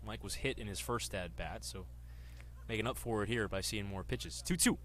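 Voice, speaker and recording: Baseball radio announcer, male, twenties. Bright, punchy voice, live play-by-play delivery. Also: lowish voice, normal speed. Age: 20s. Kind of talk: live sports commentary.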